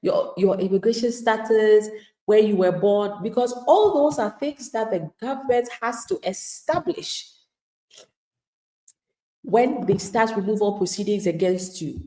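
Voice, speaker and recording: Woman lawyer talking straight to viewers, warm mellow voice, kinda echoey room. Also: mid-range voice, animated, measured pace. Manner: emphatic, plain-spoken, addresses viewers directly; acts out quoted replies of people she describes. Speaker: immigration attorney. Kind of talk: live-streamed legal advice talk.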